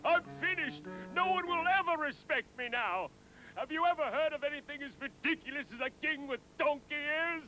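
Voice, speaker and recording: Shrill, melodramatic cartoon king. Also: moderate pace, sharp tone, soft background music, rough recording. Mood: distraught, wailing. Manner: imperious, exclamatory, melodramatic. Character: vain, hot-tempered, cold-hearted cartoon king. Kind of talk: animated fairy tale voice acting.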